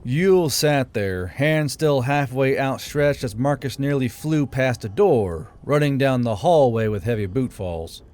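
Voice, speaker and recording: A man's voice: mid-range voice, expressive, unhurried, hardly any pauses. A man reading a fantasy novel aloud as scripted audiobook narration. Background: quiet background music.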